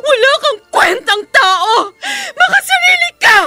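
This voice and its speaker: An anguished, bitter woman in a radio melodrama. She wails accusations in an extremely high, shrill voice over loud-swelling music. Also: big pitch swings, unhurried, exaggerated voice. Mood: anguished fury. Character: anguished, embittered woman denouncing someone. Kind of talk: melodramatic radio drama acting.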